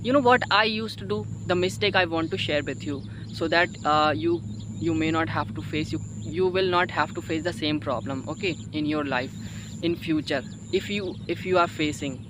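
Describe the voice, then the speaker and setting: A man with an Indian accent and Hindi as his first language speaks in a high-pitched voice. He gives informal learning advice, pausing and repeating phrases for emphasis. Clear outdoor background noise sits behind him. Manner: halting, repetitive, emphatic, frequent "you know" and "okay" tags. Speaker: English-learning video host, learner. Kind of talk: informal spoken advice to viewers.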